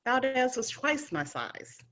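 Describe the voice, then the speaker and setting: A woman's voice: sits high, sing-song, slow pace, a bit bright, full character voice. A woman playing a feisty, stubborn young scout with a defiant, sassy manner.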